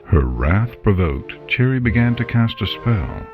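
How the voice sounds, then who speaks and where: A man's voice: on the low side, very animated, a little slow. A man giving dramatic scripted story narration, with a dull lo-fi recording. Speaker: guest narrator. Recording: dull lo-fi, music underneath. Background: background music.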